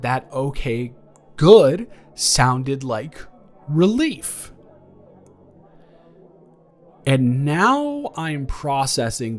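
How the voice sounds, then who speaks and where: A young man playing a flustered, awkwardly tender ex-boyfriend in an improvised romantic audio roleplay, halting and stammering as he rambles and corrects himself. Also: medium pitch. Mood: processing, curious. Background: background music.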